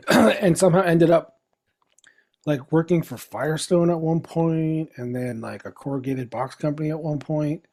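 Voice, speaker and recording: Middle-aged man, from the Philippines, podcast guest, relaxed casual chat, slightly raised pitch. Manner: relaxed, chuckling, trails off and restarts.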